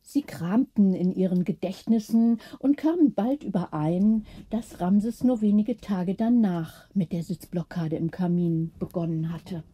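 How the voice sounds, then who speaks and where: Very mellow, husky woman storyteller. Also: mid-range voice, measured pace, hardly any pauses. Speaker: audiobook narrator. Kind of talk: scripted bedtime story narration.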